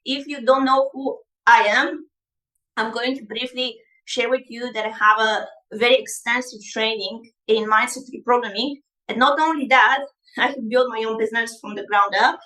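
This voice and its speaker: A woman coach whose first language is Bulgarian, speaking straight to an online audience in an upbeat, motivational way. Her voice sits a little high and sounds bright and forceful, and the room around her echoes. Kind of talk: live online masterclass.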